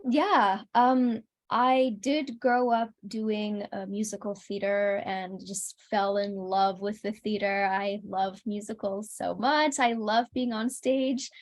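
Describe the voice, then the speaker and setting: A woman's voice: medium pitch, fairly even intonation, slow pace, hardly any pauses. A young adult woman answering as a guest in a casual, conversational interview.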